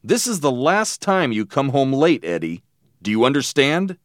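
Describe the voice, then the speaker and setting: A man's voice: medium pitch, sing-song, moderate pace, fairly bright tone, dry recording, studio quality. A man voicing a stern but not very angry father in a story, mildly scolding. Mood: firm.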